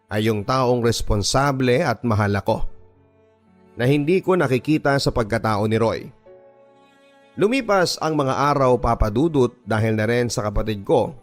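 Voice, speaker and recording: A man with a Filipino accent reading a first-person story as dramatic scripted narration for a radio drama. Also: medium pitch. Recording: music bed under the voice. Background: background music.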